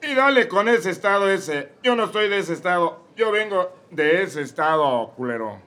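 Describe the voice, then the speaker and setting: A man's voice: high-pitched, lively intonation, normal speed, sharp tone. A man with a Mexican accent voicing a drunk, argumentative preacher on trial in a comedy radio drama. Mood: drunken. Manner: slurred, crude and argumentative.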